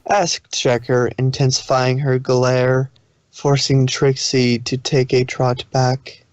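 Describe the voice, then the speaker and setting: A man's voice: medium pitch, flat delivery, takes it slowly, no echo. A man narrating a story aloud as a fan fiction reader, occasionally stumbling over the text.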